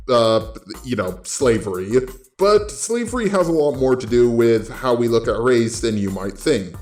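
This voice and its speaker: A man's voice: medium pitch, a little mellow, roomy sound. A man giving conversational opinion commentary in a blunt manner with sardonic asides. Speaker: video commentator.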